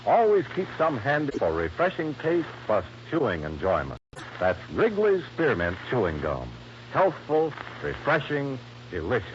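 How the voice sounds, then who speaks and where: Lively American male vintage radio announcer. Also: medium pitch, a little slow. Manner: polished, emphatic sales delivery. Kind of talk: 1950s radio announcing and sponsor ad. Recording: noisy, muffled old radio broadcast.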